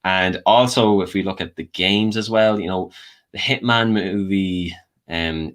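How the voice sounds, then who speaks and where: A man's voice: deep voice, a bit bright. A man speaking as a panel guest, casually explaining his opinions on films. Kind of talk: casual film debate.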